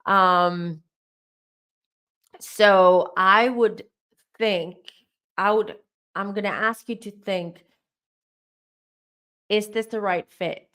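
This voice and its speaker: Woman, tarot reader, voice going hoarse. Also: mid-range voice, steady tone, very slow, long pauses, fairly bright tone. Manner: rambling, advice-giving, speaks straight to viewers. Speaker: tarot reader and live-stream host. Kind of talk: live-stream spiritual Q&A, answering chat questions.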